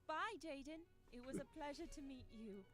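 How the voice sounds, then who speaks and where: A woman's voice: sits high, moderate pace, natural voice. A woman voicing a polite, awkward young newcomer in a visual novel game, speaking hesitantly. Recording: loud music behind the voice. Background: game music.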